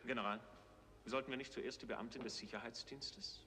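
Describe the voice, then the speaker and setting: An actor playing a smooth, officious military staff officer in 1960s German TV science fiction. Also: male voice, mid-range voice, steady tone, fairly quick, bright, forceful tone, close to an everyday voice. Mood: deferential. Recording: reverberant, noisy 1960s TV soundtrack.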